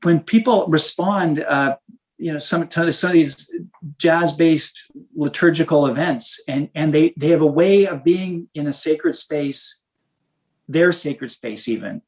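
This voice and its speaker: A man who is a minister and saxophonist, talking in an interview in a halting way, restarting often as he searches for words. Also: fairly high pitch, low-quality recording. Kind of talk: casual interview conversation.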